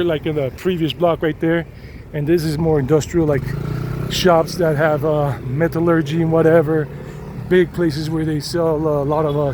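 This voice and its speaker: A middle-aged man, chatting casually as he walks a city street and narrates a tour. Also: on the high side. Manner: chatty and rambling, switching into Spanish with locals. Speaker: walking tour vlogger. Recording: outdoor street noise. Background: traffic and street noise.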